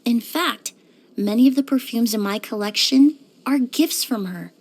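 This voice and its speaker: A woman playing a composed, courteous young woman perfumer in a video game, speaking in a polite, measured, softly formal way. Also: a little high. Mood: courteous, inquisitive. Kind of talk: scripted video game character lines.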